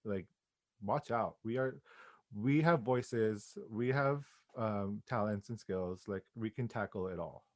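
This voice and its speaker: A man's voice: fairly low pitch, takes it slowly, darkish tone, dry recording. Enthusiastic, informal man in a dry recording. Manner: effusive, informal, peppered with "like" and slang. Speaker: interviewed playwright and theatre director. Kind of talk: casual interview chat.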